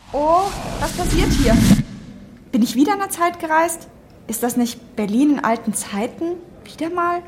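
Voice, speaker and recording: A woman acts as an excitable young female time traveler in an audio drama. Her voice is very high, and she sounds curious and wide-eyed. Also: slight background noise.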